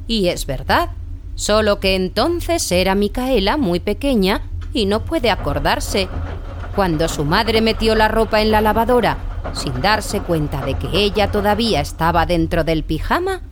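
A woman's voice: medium pitch, big pitch swings, a little slow, a little hiss or hum. A woman narrating a children's radio tale playfully, repeating words for effect. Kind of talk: scripted children's story narration.

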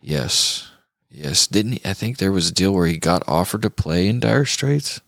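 An American man with a deep voice hosts a casual music podcast. He talks in a laid-back, slangy way, and the sound is dry with very little room on it. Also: animated, moderate pace, vocal fry, a bit bright, no echo. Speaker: podcast host.

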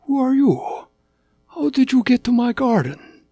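An adult voicing a kindly, breathy tiger king. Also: high-pitched, very animated, no room sound, faint music behind, pitched above the performer's own voice, exaggerated voice. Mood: curious. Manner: formal, deliberate, gently regal. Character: great tiger, kindly, formal king of cats.

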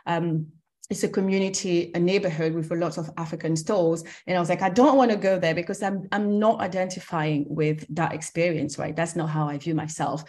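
A woman from Cameroon, a company founder in her thirties, talking at length in an interview in a reflective way with frequent ums and soft laughs. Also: fairly low pitch, fairly even intonation, brisk. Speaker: skincare company founder, guest. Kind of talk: conversational interview.

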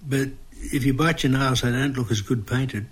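A man's voice: medium pitch, fairly even intonation, normal speed, slightly rough. A man co-hosting a casual chat in a joking, teasing manner. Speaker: talk show co-host. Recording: faint background noise.

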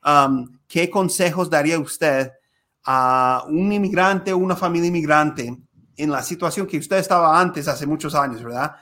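A man's voice: fairly high pitch, normal speed, pushed and bright. A man hosting an interview podcast, talking with many hesitations and fillers. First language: Spanish. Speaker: podcast host. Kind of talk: conversational interview.

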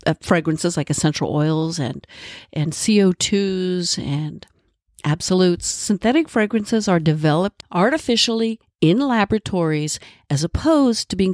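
A woman's voice: lowish voice, darkish tone, no room sound, studio quality. A woman podcast host with a congested, nasal voice from a cold, giving a friendly solo explanation with asides. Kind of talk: solo educational podcast talk.

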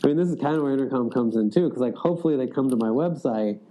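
A man's voice: mid-range voice, very fast, mellow, low-quality recording. A man in a casual interview, speaking loosely, with lots of "like" and restarts. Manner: loose, filler-heavy, frequent "like". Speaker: sales executive guest.

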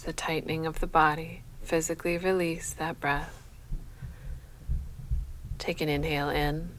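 A woman leading a guided sleep meditation as a yoga nidra guide, speaking in a measured, soothing, unhurried manner. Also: low voice, clear, resonant tone, rough recording. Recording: faint steady background noise.

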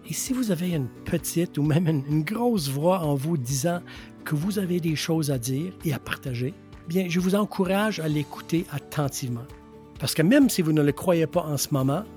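A man's voice: fairly high pitch, a little mellow. Earnest, emphatic middle-aged podcast host, man. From western Canada. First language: English. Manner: earnest, emphatic, repeats key phrases. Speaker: podcast host and public speaking coach. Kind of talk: solo podcast monologue.

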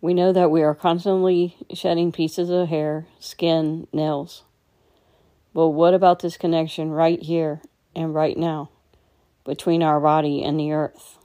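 A woman guides a meditation in a low, dark and relaxed voice. Her delivery stays very level and unhurried, with gentle instructions given step by step. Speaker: meditation teacher.

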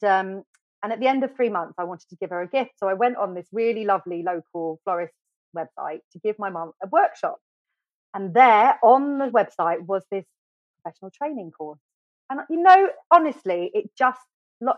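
Chatty, animated British woman guest. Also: mid-range voice, no room sound. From United Kingdom. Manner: animated, chatty, self-deprecating, many fillers and restarts. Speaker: podcast guest, florist. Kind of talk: casual storytelling interview. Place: podcast studio. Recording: dry, slightly dull studio sound.

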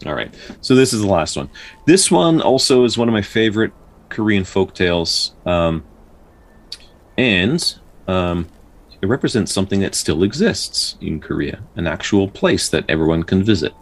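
A man with a deep, rough-edged voice hosts and narrates folktales. His intonation rises and falls widely, whether he is chatting casually or telling a story. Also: very animated, normal speed. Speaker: podcast host, storyteller.

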